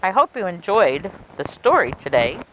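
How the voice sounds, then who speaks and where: A woman reading a children's picture book aloud as a library story time host, with expressive, deliberate storytelling. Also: on the low side, a little hiss or hum, poor audio.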